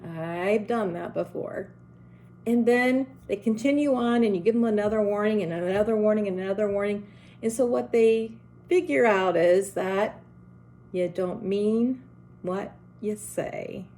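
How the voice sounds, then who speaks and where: A woman's voice: mid-range voice, measured pace, warm tone. A middle-aged woman who runs a school, with a strong, carrying voice, giving earnest, direct advice to parents and teachers. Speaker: school principal.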